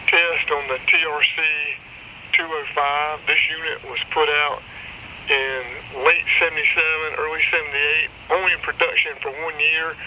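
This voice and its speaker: A man's voice: on the high side, noisy background. A man hosting a hobby channel, giving a casual, plain-spoken review in informal language. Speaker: host of a radio hobby channel.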